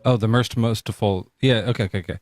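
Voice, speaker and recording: A low-pitched man hosts a casual late-night debate stream, cracking jokes and often tripping over his own sentences. His voice sounds dry and close to the mic. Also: no echo. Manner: joking, halting, many restarts. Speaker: debate show host.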